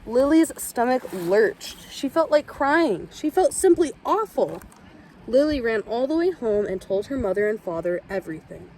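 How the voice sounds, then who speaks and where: A woman reading a children's picture book aloud with expressive storytelling. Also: fairly high pitch, normal speed, slight background noise, poor audio. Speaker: storybook reader.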